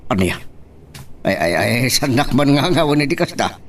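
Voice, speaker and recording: Man acting a grumbling husband, very animated. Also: mid-range voice, normal speed, far from the mic, lightly acted. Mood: incredulous. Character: skeptical husband, disapproving of his wife's nosy gossip. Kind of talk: Ilocano audio drama acting.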